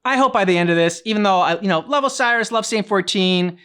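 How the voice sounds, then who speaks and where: A man hosting a game reaction, chatting casually in a halting, rambling way and often trailing off mid-thought. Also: high voice, a bit bright, clear, resonant tone. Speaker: reaction channel host. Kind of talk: casual reaction chat about a video game.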